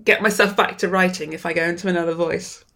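A woman writer talking about her work in an interview, warm and excitable, laughing often and sometimes repeating her words. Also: on the low side, fast talker, creaky. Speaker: writer guest. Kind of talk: casual interview conversation.

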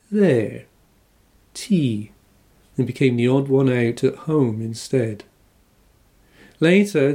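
Measured older English man reading an essay. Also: mid-range voice, mellow. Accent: English, with a faint Irish R. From Wales. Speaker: novelist.

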